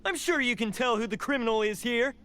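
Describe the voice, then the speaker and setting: A man's voice: very high-pitched, brisk, pushed and bright, slight background noise. An actor playing a proud, desperate young male oni warrior in a game, speaking intensely and defiantly. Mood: bitter. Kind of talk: game cutscene voice acting.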